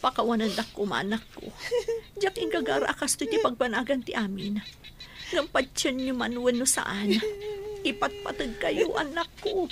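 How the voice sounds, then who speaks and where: A woman's voice: high-pitched, lively intonation, normal speed, a crowd in the background. An actress in a radio drama playing a guilt-torn mother, pleading in tearful melodrama. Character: guilt-torn mother Melody leaving her husband and children.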